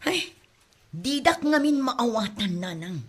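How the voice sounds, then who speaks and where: A woman's voice: fairly high pitch, animated, takes it slowly, breathy edge, full character voice. A woman with an Ilocano accent playing a secretive, aggrieved mother in an audio drama. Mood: defensive. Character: Marta, secretive unfaithful mother, defensive, aggrieved. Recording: music behind the voice.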